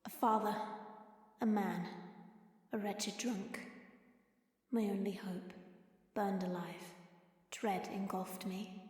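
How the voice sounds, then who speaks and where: A woman playing a fierce, grave dragon woman in an audio drama, speaking and narrating with solemn, deliberate intensity. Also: mid-range voice, fairly even intonation, a little mellow, lightly acted. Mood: bleak, sorrowful. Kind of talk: audio drama acting with first-person narration. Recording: echoey hall reverb, music underneath.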